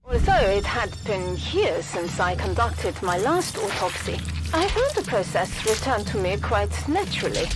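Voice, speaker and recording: A learned scientist in a video game. She narrates calmly and formally at a medium pitch, over clear music. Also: poor audio. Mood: calm reflection. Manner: formal, measured. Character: learned autopsy scientist, calm, formal, reflective. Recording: music behind the voice.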